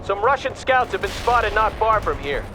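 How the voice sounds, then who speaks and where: An actor playing a gruff, resentful American army captain in a video game, brash and barking orders. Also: male voice, high-pitched, big pitch swings, moderate pace, noisy background. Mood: wary. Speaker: army captain, company commander.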